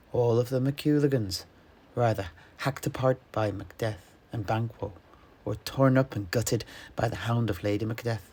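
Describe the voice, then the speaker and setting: A man with a fairly low voice narrates a dramatic fantasy tale. His delivery is measured and ornate, with faint noise behind him. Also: darkish tone. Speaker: storyteller.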